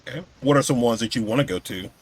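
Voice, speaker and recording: A man with a medium-pitched voice hosts a podcast. He speaks in a casual, conversational way as he interviews a guest. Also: fast, breathy edge.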